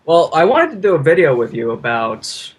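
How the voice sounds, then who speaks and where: A man's voice: fairly high pitch, very animated, normal speed, slightly rough. A man in casual conversation, talking in an informal, effusive and rambling way. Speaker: podcast guest and YouTuber. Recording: noisy, ambient background noise.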